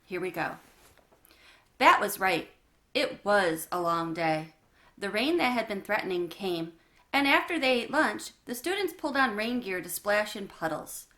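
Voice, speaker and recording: A woman reading a children's book aloud in a warm, expressive storytelling manner. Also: mid-range voice, measured pace.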